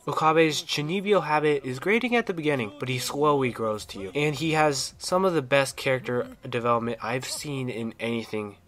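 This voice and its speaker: A man's voice: mid-range voice, normal speed, few pauses, fairly bright tone. A man reading a scripted video game review as an enthusiastic, opinionated fan.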